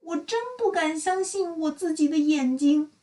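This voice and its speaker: A woman's voice: high voice, normal speed, warm tone, pitched above the performer's own voice, close to an everyday voice. A woman voicing an astonished, cheery little male storybook character in an exclaiming manner. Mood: amazed.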